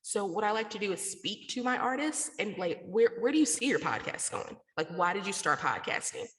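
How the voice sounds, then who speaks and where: A woman's voice: medium pitch, sing-song, brisk, a bit bright. An American woman from the South talking casually and slangily as a guest in a radio interview. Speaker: interview guest, podcast network founder. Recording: echoey, reverberant room.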